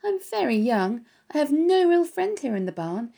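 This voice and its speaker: A woman doing a young pig, high and plaintive. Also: very animated, normal speed, a little mellow. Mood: miserable. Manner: high, pleading and whiny. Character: young lonely male pig, earnest and plaintive.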